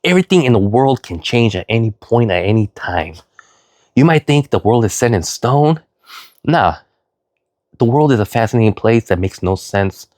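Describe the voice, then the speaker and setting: A man's voice: lively intonation, normal speed, no room sound, clean studio sound. Sniffly man rambling with a cold. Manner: rambling, filler-heavy, repeats "you know"; earnest, swings into loud high emphasis. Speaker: vlogger. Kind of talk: rambling personal vlog monologue.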